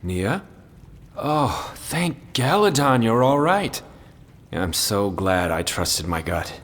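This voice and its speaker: An actor playing a fussy, effusive, well-mannered friar in a fantasy audio drama. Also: male voice, on the low side, big pitch swings, takes it slowly, fairly bright tone, in a large hall, full character voice. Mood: relieved. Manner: courteous, effusive.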